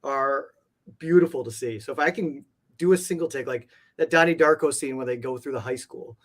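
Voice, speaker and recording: A man's voice: mid-range voice, fairly quick. A man chatting casually as an interview guest, with informal, loose phrasing. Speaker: interview guest, comic art collector. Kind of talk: casual chat.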